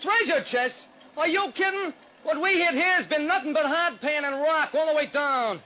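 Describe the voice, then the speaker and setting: An actor playing a brusque bulldozer worker who shouts orders, in 1940s radio acting style, on a dull lo-fi old recording. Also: male voice, extremely high pitch, normal speed, background noise.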